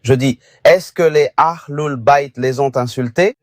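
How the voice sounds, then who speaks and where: A man's voice: medium pitch, a little slow, a little mellow, no room sound, clean studio sound. Persistent questioning male caller. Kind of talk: phone-in question.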